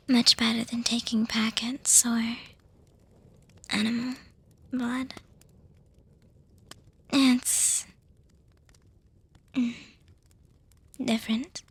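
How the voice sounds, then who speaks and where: Woman playing a breathy whispering vampire girlfriend. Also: fairly high pitch, no room sound, full character voice. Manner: slow, hesitant pauses with soft hums. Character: young female vampire girlfriend, doting, refined, gently teasing. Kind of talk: ASMR girlfriend roleplay audio. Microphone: close microphone, dry.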